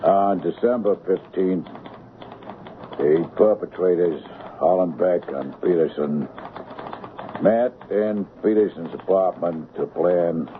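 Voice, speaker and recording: An actor playing a formal police record reader, narrating a radio drama in an official, impersonal, measured way. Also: male voice, low-pitched, phone-quality sound. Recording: band-limited radio drama recording with noise.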